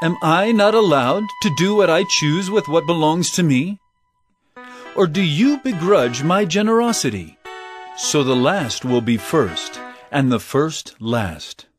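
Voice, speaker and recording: A man playing an authoritative teacher in a Bible audio drama, speaking in a measured, solemn, instructive way. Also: fairly high pitch, lively intonation, music in the background. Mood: firm, reasoning. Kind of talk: audio drama scripture storytelling.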